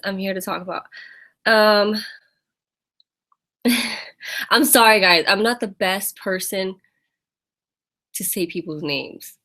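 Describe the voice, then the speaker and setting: A woman's voice: medium pitch, a bit bright. An American woman hosting a casual live fitness Q&A, chatting and laughing as she greets viewers by name. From United States. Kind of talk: casual live-stream chat.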